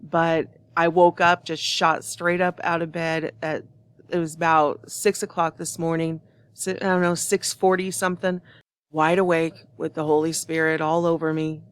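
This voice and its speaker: A woman with a hoarse, rather low voice talks to the camera in a steady, flat line that barely rises or falls. She speaks with earnest conviction and no script, giving a prophetic warning straight to her viewers. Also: dry recording. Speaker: online prophecy channel host. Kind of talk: prophetic video monologue.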